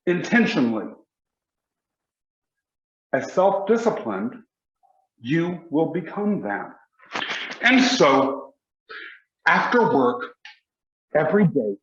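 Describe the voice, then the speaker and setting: A man, giving a motivational speech with emphatic, deliberate pauses. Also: a little high, roomy sound, highs cut off. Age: 40s. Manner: emphatic, slow deliberate delivery with dramatic pauses. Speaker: motivational speaker.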